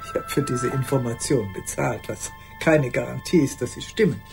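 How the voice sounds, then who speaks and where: An old woman, deliberate and guarded. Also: extremely low pitch, vocal fry, over music. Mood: businesslike. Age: older. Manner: deliberate, formally polite, addressing a silent listener. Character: old woman in a care home, matter-of-fact, guarded. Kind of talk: radio drama monologue.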